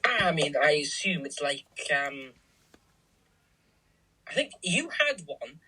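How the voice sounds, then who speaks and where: A man's voice: fairly high pitch, very animated, slow pace, bright, forceful tone, breathy edge, low-quality recording. A man co-hosting a craft show in casual, jokey chat, often circling as he starts a sentence.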